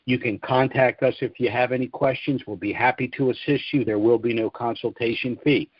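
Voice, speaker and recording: Call host, male, measured and businesslike, lo-fi dry audio. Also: medium pitch, steady tone, breathy edge, dry recording. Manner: businesslike, spells out contact details slowly. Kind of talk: conference call Q and A.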